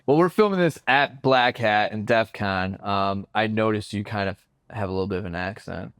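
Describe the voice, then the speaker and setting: A man's voice: on the low side, normal speed, fairly bright tone, clean tone, no echo, studio quality. A man hosting an interview, prompting his guest with short encouraging questions. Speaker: interview host. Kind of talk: casual interview. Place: convention floor.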